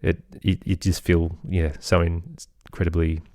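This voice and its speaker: An Australian man speaking as an interview guest, thoughtful and halting as he searches for his words in reflective conversation. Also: low voice, level tone, darkish tone, no room sound, clean studio sound. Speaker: guest, composer and children's podcaster.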